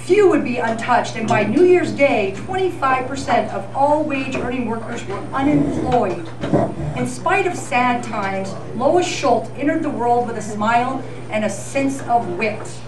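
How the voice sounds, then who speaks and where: A woman's voice: on the high side, lively intonation, unhurried. A woman co-hosting as a radio news reporter, reading scripted old-time radio narration in a senior center hall. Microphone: picked up from far off. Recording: echoey, noisy, distant live event recording.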